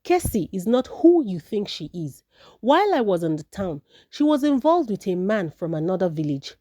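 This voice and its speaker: A woman storyteller voicing a smug, envious man from a folktale village, smooth, confident and accusing. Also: medium pitch, sing-song, normal speed, dark tone, no room sound, studio quality. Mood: sinister, accusing. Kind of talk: storybook character voice.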